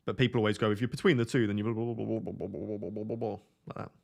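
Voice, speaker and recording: Monotone young man. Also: fairly low pitch, very fast, creaky. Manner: self-deprecating, chatty, reads out chat messages. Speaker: livestream host. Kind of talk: casual live-stream chat, answering viewers.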